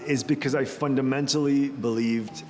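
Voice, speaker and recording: A man from Israel, founder of an urban farming company, explaining his mission in an earnest, measured way. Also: medium pitch, fairly even intonation. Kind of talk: interview-style talk to camera. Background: background music.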